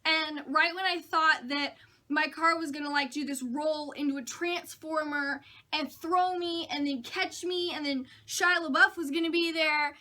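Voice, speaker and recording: A young woman, a bit hoarse from a cold, telling funny stories about her week to camera in a chatty, self-mocking way. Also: high voice, steady tone, measured pace, pushed and bright. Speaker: vlogger. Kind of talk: casual chat to camera.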